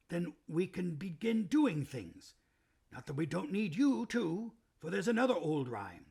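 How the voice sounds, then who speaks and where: A man voicing a gruff, eager male beaver who is proud and kindly, with a rough, strained voice. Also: on the high side, exaggerated voice.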